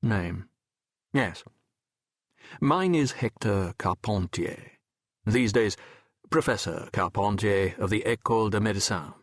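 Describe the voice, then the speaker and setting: A man narrating an audiobook as a wry, reflective French medical professor telling his memoir in a measured, erudite, wistful way. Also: fairly low pitch, animated, no echo, studio quality, exaggerated voice. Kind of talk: scripted first-person literary narration.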